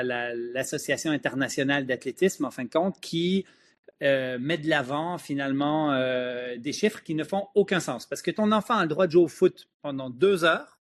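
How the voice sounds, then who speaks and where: A man's voice: on the high side, a bit bright. A middle-aged man with a Quebec French accent, explaining emphatically as an expert guest in an interview. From Switzerland, moved to Quebec at ten. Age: middle-aged or older. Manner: emphatic, opinionated.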